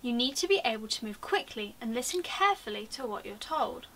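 Woman reading a kind proud daddy sheepdog, storybook style, high voice, gently teaching. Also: expressive, a little fast. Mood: instructive. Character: kindly, proud father sheepdog, adult male, advising.

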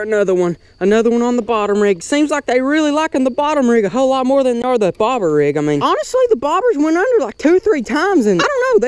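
A young man giving excitable, folksy commentary while fishing outdoors at a pond, talking into an action camera. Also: very high voice, noisy background. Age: young adult. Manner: excitable, folksy, calls viewers boys and son. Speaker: fishing vlogger. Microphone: action camera on body or handheld.

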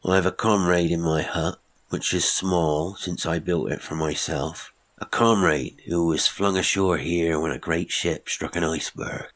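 A man's voice: low voice, fairly even intonation, measured pace, few pauses, a bit bright. A man voicing a small, surly man marooned for years, speaking in terse, old-fashioned phrases.

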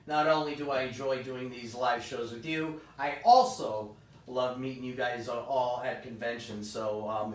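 A man, host of a live comic shop stream, chatting upbeat and rambling with many fillers, his voice hoarse and partly gone. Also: distant mic. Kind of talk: casual live-stream chat. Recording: roomy, off-mic, faint rumble.

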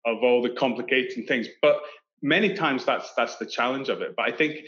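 A man's voice: medium pitch, brisk. Scottish man on a muffled call. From Scotland. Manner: animated, gestures with hands, many restarts. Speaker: architect guest. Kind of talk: casual interview chat. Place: indoor room on video call. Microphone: video call webcam. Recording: dull lo-fi call audio.

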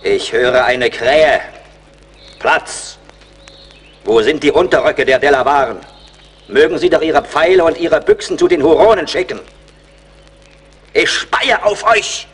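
An actor playing a fierce, bold frontier fighter in an adventure radio play. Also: male voice, a little high, measured pace, long pauses, a little hiss or hum, close to an everyday voice. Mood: scornful. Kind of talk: dramatic German radio play acting.

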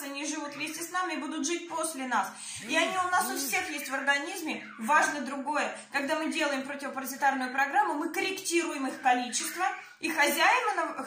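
A woman in her thirties, a health group curator, earnestly explaining a wellness program to a phone camera in a small room, pausing with "uh" fillers. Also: high voice, pushed and bright, reverberant. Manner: earnest, lecturing with many hand gestures and hesitant "uh" fillers. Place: small indoor room at a desk.

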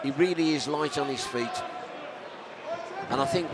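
A man's voice: a little high, moderate pace, over a noisy crowd. Male ringside commentator in heavy noise. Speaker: lead fight commentator. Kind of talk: live boxing commentary. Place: ringside in a large indoor arena. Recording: very noisy live arena.

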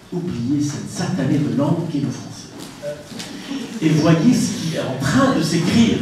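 A man's voice: medium pitch, background noise. A middle-aged man from Tunisia giving an emphatic conference talk into a handheld mic, asking the audience rhetorical questions. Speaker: conference speaker. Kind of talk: public lecture with anecdotes. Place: conference room, indoors. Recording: echoey room, from far off.

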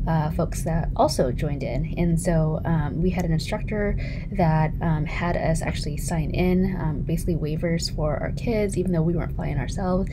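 Low-voiced chatty woman vlogger. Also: background noise. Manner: chatty with many ums and uhs. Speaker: travel vlogger, mother of young kids. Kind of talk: casual voice-over narration.

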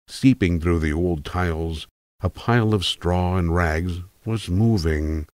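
A man narrating a literary tale in a measured, wry, precise manner, as a voice actor reading from a script. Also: deep voice, a little mellow, no echo, clean studio sound.

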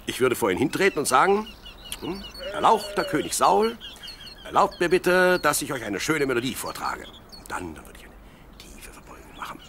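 A man's voice: a little high, normal speed, exaggerated voice. An actor in a radio play voicing a dreamy, plucky young shepherd boy who muses aloud expressively. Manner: expressive, musing aloud to himself and animals. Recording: clear outdoor animal noise behind. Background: sheep, birds, outdoor ambience.

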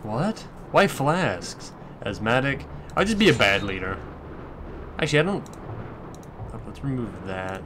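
A male let's player thinks out loud in a casual, sweary way. His voice is mid-pitched, and faint game noise sits behind it. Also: very animated, a little slow, long pauses. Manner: crude swearing, muses aloud. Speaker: let's play commentator. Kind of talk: casual gameplay commentary.

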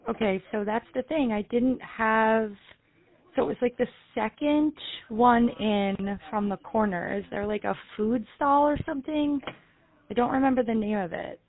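A middle-aged woman playing an anxious, pious mother on a comedy prank call, speaking haltingly and pleadingly. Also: on the high side, phone-quality sound, natural voice. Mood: flustered, worried. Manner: hesitant, halting, pleading, earnest. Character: flustered, pious, anxious mother seeking advice. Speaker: prank caller on a comedy show. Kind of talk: improvised prank phone call.

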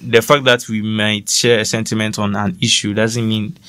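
A man's voice: on the low side, flat delivery, normal speed, fairly bright tone, a little hiss or hum. A young man with a Ghanaian accent, co-hosting a podcast and talking earnestly, often checking "you understand?". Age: young adult. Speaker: podcast co-host. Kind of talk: casual podcast discussion.

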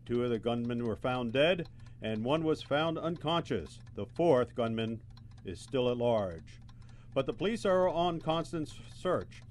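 An earnest reporter acted by a young man gives a mock field report in a medium-pitched voice. The delivery is announcer-like, with faint noise behind. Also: expressive, unhurried, darkish tone, close to an everyday voice. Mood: earnest, formal. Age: young adult. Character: earnest male field news reporter who admires heroes. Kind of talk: mock news report in student radio theatre.

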